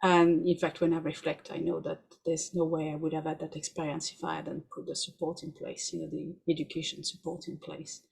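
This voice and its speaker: A woman in her forties from France with a French accent, a podcast guest talking quickly in animated asides. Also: sits low, gravelly, husky. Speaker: podcast guest, researcher and doula. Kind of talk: conversational interview.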